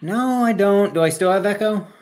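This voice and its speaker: A man co-hosting a running podcast, talking in a relaxed, rambling, self-deprecating way. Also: high voice, moderate pace. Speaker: podcast co-host. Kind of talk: casual chat.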